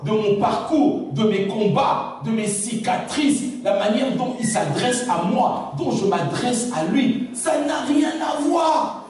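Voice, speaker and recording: A man's voice: sits high, darkish tone. A pastor preaching a sermon to his congregation. His native language is Lingala, and he keeps asking the room whether they follow him. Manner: preaching with rhetorical questions to the congregation. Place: large church hall. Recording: very echoey church hall, distant mic, faint noise.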